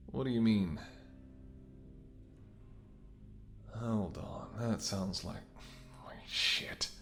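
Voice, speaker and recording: A man playing a menacing, smirking mercenary ex-bodyguard in an audio roleplay, with a taunting, sardonic way of talking. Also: fairly low pitch. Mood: suspicious, realizing. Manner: taunting, sardonic, swearing, drops to whispers. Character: menacing, smirking male mercenary ex-bodyguard holding someone at gunpoint. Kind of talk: dramatic audio roleplay spoken to the listener.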